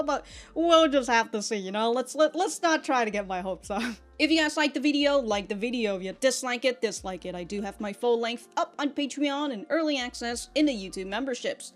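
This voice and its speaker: A woman hosting a reaction show, commenting casually in an animated, exclaiming way. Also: on the high side. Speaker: host of an anime reaction show.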